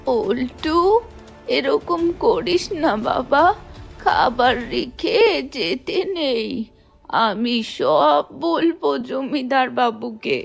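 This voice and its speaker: A woman's voice: high voice, expressive, extremely slow, gravelly, faint music behind, exaggerated voice. A woman voicing an aggrieved, outspoken old village granny in a cartoon folk tale, scolding and plaintive. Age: older.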